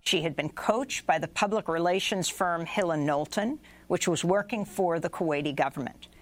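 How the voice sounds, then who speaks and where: A woman news anchor reading a scripted report in a measured, formal way. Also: lowish voice.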